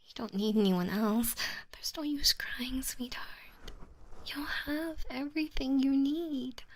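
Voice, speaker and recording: A young woman whispering an ASMR roleplay as an obsessive, possessive lover, cooing endearments softly. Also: high voice, slow pace, slight background noise. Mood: soothing. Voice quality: soft whisper.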